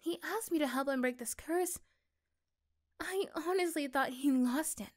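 A woman playing an affectionate, reassuring young girlfriend in a soft, gentle ASMR roleplay. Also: high voice, a little slow. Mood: storytelling. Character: affectionate, reassuring young girlfriend chatting intimately to her partner.

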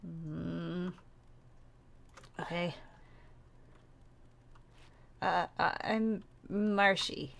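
A woman's voice: lowish voice, steady tone, drawn-out words, vocal fry, pauses often, full character voice. A woman playing a nervous, stubborn zombie-apocalypse survivor in an improvised game roleplay, speaking hesitantly. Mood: hesitant, curious.

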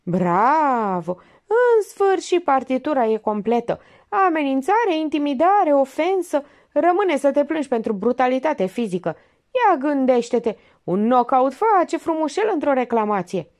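A woman's voice: sits high, big pitch swings, darkish tone, pitched above the performer's own voice. Woman reading as a sarcastic older lady sleuth. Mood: mocking. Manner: mocking, needling, pointed. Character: sharp sarcastic older female amateur detective.

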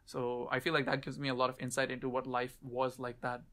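Young Pakistani-accented man, student, relaxed chatty delivery full of fillers, seated on camera in a small dark studio. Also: medium pitch, fairly quick. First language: Urdu. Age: young adult. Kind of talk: casual personal interview.

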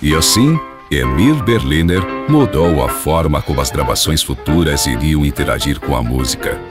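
A man's voice: a bass voice, expressive, moderate pace, over music. A man reading scripted radio drama narration in a formal, dramatic storytelling manner.

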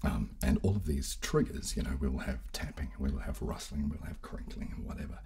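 A man in his thirties hosting ASMR, explaining gently and hesitantly in a soft, hushed voice close to the microphone. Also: a bass voice, fairly quick. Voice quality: soft, hushed, breathy whisper at times. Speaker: ASMR video host. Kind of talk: soft-spoken and whispered ASMR, casual instruction. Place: small indoor room, seated at table. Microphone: close studio microphone.

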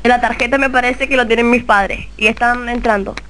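A woman with a highish voice talks casually on a phone call. She hesitates and stalls often, with faint noise and keyboard clicks in the background. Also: steady tone, fairly quick, a bit bright. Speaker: prank caller.

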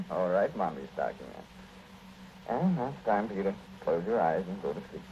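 A man playing a gentle, kindly father and storyteller in a 1940s radio play. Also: low-pitched, big pitch swings, moderate pace, slight background noise. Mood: gentle, fatherly. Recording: dull 1940s radio recording. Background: music.

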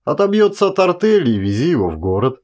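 Male reader as blustering ex-policeman forest guard, loud, folksy, grumbling, audiobook drama. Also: on the high side, sing-song, fast talker, pitched above the performer's own voice.

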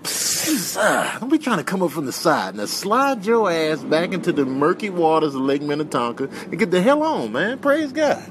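A man's voice: on the high side, sing-song, moderate pace, few pauses, background noise. A man voicing an indignant streetwise male cat in a comedic animal voice-over, scolding in slangy, repetitive bursts.

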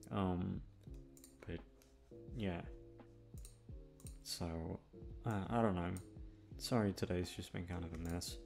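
A man's voice: low-pitched, fairly even intonation, slow, vocal fry, long pauses, darkish tone, soft background music. A man chatting casually to his listeners, rambling in a downbeat, complaining way and swearing freely. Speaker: channel host, audio voice actor.

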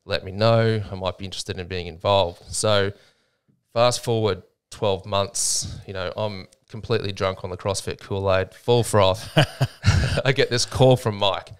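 A man's voice: on the low side, fairly even intonation, measured pace, smooth. Chatty Australian man telling a story. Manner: chatty, laughs often, many fillers. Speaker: gym co-owner, guest. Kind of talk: casual storytelling interview. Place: small podcast studio. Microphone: studio mic with headphones.

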